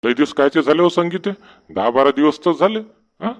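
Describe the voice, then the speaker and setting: A man's voice: a little high, expressive, a little fast, lo-fi sound. A man voicing a henpecked, sarcastic village husband in a comedy cartoon, complaining in rural Marathi of Vidarbha.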